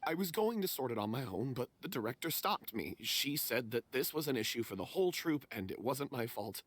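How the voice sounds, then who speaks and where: A man's voice: medium pitch, sing-song, a little fast. A man playing a guilt-ridden member of a theater troupe, speaking in remorseful, dramatic confession. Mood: anxious, confessional. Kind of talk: dramatic voice acting.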